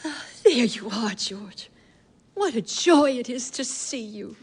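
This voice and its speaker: Sweet nosy old aunt, very up and down voice, fluttery, stage drama feel. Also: female voice, a little high, lively intonation, slow pace, breathy edge, heavily acted. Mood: affectionate. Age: older. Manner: fond, fluttery, gently prying. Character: doting, prying, kindly old maiden aunt. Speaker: actor in an audio drama. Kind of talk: theatrical audio drama acting.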